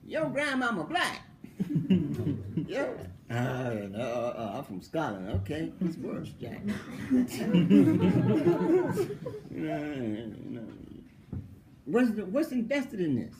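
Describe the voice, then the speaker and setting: A man's voice: on the high side, huge pitch swings, slow pace, pauses often, background noise. A male philosophy professor answering an interviewer in a conversational way. He asks mocking rhetorical questions, laughs often and repeats points for stress.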